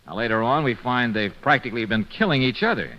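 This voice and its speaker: A sardonic male private eye from an old radio mystery, played by an actor. He needles and probes with a very animated, mid-pitched voice. The recording is dull and lo-fi. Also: fast talker, close to an everyday voice. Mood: probing. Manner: sardonic, probing. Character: hard-boiled, needling male private detective. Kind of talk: old-time radio detective drama acting.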